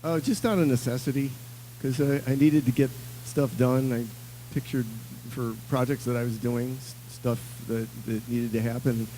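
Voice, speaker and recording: A man from upstate New York speaking in a flat, even, mellow tone, explaining things plainly as a guest on a radio show. A faint hum runs underneath. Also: medium pitch, moderate pace, low-quality recording. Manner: plain, matter-of-fact. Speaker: multi-instrumentalist guest.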